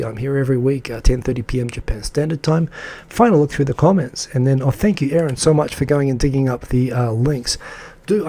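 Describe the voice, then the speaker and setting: Male live-stream host, dark gravelly tone, chatty and enthusiastic, loose with fillers. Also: medium pitch. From English-speaking country. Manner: rambling and enthusiastic, lots of fillers; reads out and answers viewer comments. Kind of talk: casual live-stream chat.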